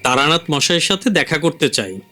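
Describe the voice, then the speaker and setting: A man's voice: on the high side, sing-song, brisk, bright, forceful tone, soft background music. A man playing an eager, insistent young friend in an audio drama, chatty and urging. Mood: polite. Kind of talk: Bengali audio drama acting.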